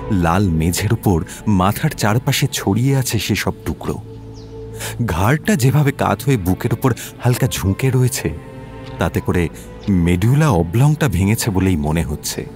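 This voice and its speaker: A man's voice: sits low, animated, measured pace, a little mellow, soft background music. A man narrating a scripted detective story as its narrator.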